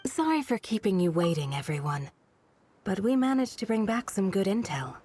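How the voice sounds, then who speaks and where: A woman voicing a composed, responsible guardian in a video game, speaking in a calm, courteous and measured way. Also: mid-range voice, breathy edge. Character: composed, responsible female guardian coordinating a security team.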